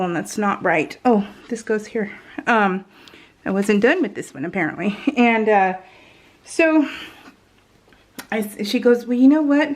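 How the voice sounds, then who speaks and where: A woman chatting casually and giggly as a craft vlogger, rambling and interrupting herself while she works in real time. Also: medium pitch.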